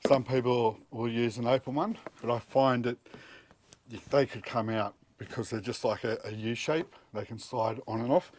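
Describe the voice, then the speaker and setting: A man's voice: mid-range voice, fairly even intonation, normal speed, a little mellow, slight background noise. Middle-aged man giving practical DIY instructions. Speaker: DIY presenter. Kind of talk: casual step-by-step tutorial. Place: outdoors at a car engine bay and inside the vehicle cab.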